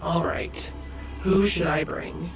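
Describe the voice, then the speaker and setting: A man's voice: a little high, steady tone, takes it slowly. A man in a radio drama playing a weary, blunt, exasperated agent who bends reality for his creator. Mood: frustrated. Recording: echoing space, loud music underneath.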